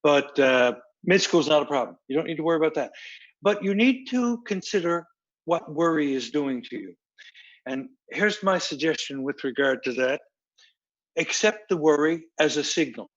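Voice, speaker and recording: A man acting as a counselor, giving measured, instructive advice in plain sentences. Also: on the high side, expressive, lo-fi sound. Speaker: counselor co-host.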